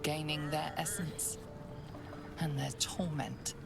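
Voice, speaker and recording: An actress playing a grave female storyteller, narrating a dark fantasy game in a solemn, deliberate manner. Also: sits low, steady tone, gravelly, over loud music, full character voice. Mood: ominous.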